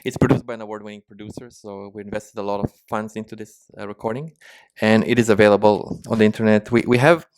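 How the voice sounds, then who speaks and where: A man's voice: on the low side, steady tone, quick pace, a little mellow, clean tone, dry recording. A man with a slight Ukrainian-Israeli accent answering interview questions, hesitant with many restarts and fillers. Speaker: musician guest.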